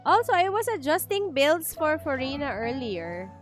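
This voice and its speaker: A woman's voice: sits high, big pitch swings, moderate pace, soft background music. A woman with a Filipino accent giving casual, chatty live commentary as she streams a game, thinking aloud. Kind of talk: casual live gameplay commentary in mixed Tagalog and English.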